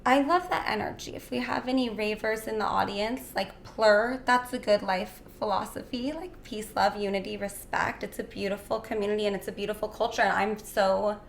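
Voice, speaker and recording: A young woman in casual interview conversation, dreamy and full of "like" fillers, slightly hoarse. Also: mid-range voice, steady tone, moderate pace, hardly any pauses. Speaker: guest. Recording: echoey room with faint noise.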